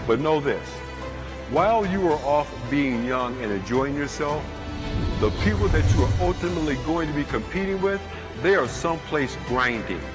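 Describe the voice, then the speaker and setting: A man giving a forceful motivational speech, building rhythmic lists. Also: a little high, animated, moderate pace. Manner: forceful, rhythmic list-making. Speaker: motivational speaker. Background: music.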